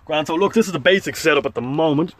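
Fast-talking Irish man, casual vlogger. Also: on the high side, a bit bright. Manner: playful, informal, sweary. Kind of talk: casual, rambling vlog chat. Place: mostly outdoors by a parked van. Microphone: handheld camera or phone. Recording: outdoor handheld recording with faint noise.

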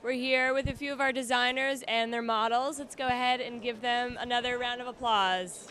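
A woman's voice: fairly high pitch, fairly even intonation, moderate pace, crowd noise. Upbeat woman event host. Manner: upbeat, welcoming emcee. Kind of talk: press junket moderation.

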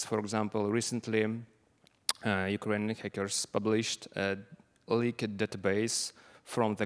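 A man in his thirties with a Russian accent speaks on a conference panel, his voice low and fairly monotone. He halts often and fills gaps with "uh" and "like". He talks into a handheld mic in a large conference room. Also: smooth. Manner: halting, many fillers. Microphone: handheld microphone.

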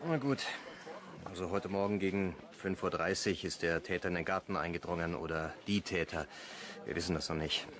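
An actor playing a curt police inspector in a German radio play, clipped, official and reluctant. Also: male voice, deep voice, brisk, natural voice. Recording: busy outdoor crowd behind.

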